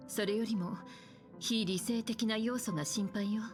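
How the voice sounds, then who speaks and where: An actress voicing a calm, composed mature woman leader in a game, measured and cautious. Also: on the high side, natural voice. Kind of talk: Japanese game voice acting. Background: game music.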